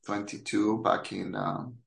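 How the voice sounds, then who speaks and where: Echoey 50s guy w dominican spanish accent, native spanish speaker, kinda flat and gravelly, halting casual storytelling. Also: lowish voice. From Dominican Republic. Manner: hesitant, halting. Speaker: podcast guest.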